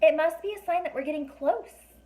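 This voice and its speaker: A woman voicing an eager, cheerful young girl from a storybook in an earnest, bright way. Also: sits high, brisk, voice pushed up, lightly acted. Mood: excited.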